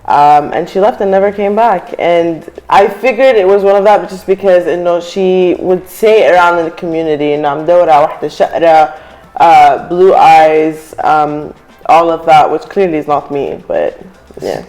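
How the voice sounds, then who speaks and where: A woman's voice: on the low side, fairly quick, darkish tone, soft background music, rough recording. A young adult woman from Syria, a panel guest who tells personal stories in a chatty way with many ums and frequent laughter. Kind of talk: casual panel discussion.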